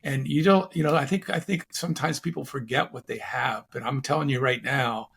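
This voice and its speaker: A man's voice: mid-range voice, a little fast, gravelly, a bit bright, breathy edge. A man hosting a conversational interview, asking curious, rambling questions with many restarts. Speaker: podcast host.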